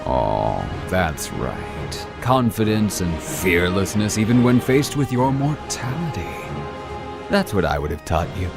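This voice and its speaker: Man playing a cruel, slow, mocking hunter god. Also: low voice, big pitch swings, gravelly, heavily acted. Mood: cold menace. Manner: taunting, drawn-out and theatrical. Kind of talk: audio drama acting. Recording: music bed behind voice.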